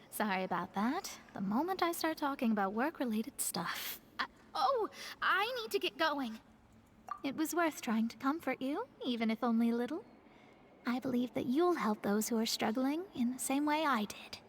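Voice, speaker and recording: A woman's voice: high-pitched, expressive, moderate pace, slight background noise. A woman playing an earnest, proud young female astrologer mage in a video game, speaking articulately and formally. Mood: flustered, kind. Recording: faint music behind the voice.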